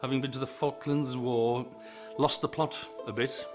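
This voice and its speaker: A man speaks calmly and in measured phrases about his character in a film interview. His voice sits at a middle pitch. Instrumental music plays clearly beneath him. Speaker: actor. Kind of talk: documentary interview.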